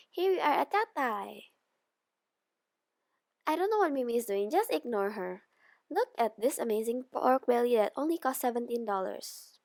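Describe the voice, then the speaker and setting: A young woman from Indonesia, a student, reading her video journal aloud in a plain, careful way with a few slips. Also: fairly high pitch. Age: young adult.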